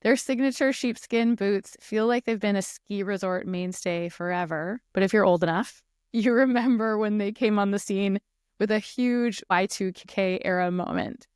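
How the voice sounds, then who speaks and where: Upbeat woman podcast host, smooth voice. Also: mid-range voice, moderate pace, a little mellow, no echo, clean studio sound. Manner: upbeat, enthusiastic questioning. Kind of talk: podcast intro and interview questions.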